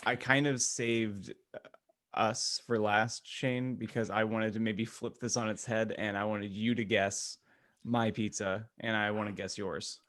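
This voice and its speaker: A man's voice: fairly low pitch, level tone, measured pace, fairly bright tone. A man hosting a casual podcast conversation with wry, teasing banter. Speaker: podcast host.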